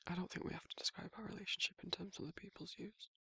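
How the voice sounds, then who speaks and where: A man playing a protective, affectionate werewolf boyfriend in an intimate ASMR roleplay, murmuring casually in a husky, breathy voice that often drops toward a whisper. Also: sits high, fairly even intonation, fast, dry recording, exaggerated voice. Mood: teasing, affectionate. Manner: casual, colloquial, soft-spoken intimate murmur with soft chuckles.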